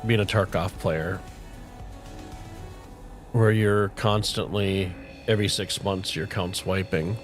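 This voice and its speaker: A middle-aged man chatting casually with viewers on a game livestream, relaxed and rambling, with a soothing voice. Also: sits low, takes it slowly, pauses often. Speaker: streamer. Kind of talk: casual livestream chat while gaming. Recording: faint music playing underneath.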